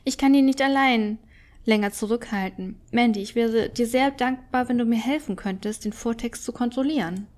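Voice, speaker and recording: An ancient witch of a fantasy game, voiced by a woman reading aloud, speaks in a slightly high voice. She is aloof and wise, with formal, measured words. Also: darkish tone, lightly acted. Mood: concerned.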